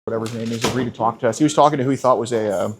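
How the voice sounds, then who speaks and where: A man calmly questioning someone and then explaining the situation to police, in halting speech full of restarts, indoors at a casino. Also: medium pitch, noisy background. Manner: calm, halting, with many restarts. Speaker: sting confrontation host. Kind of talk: confrontational questioning.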